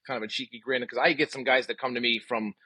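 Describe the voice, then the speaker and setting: A man in his forties speaks as a podcast guest in a casual, emphatic way. His voice is bright and slightly rough, and he talks quickly. The sound is close and dry. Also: mid-range voice, fairly even intonation, no echo. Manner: opinionated, emphatic, uses rhetorical questions. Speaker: podcast guest, physical therapist.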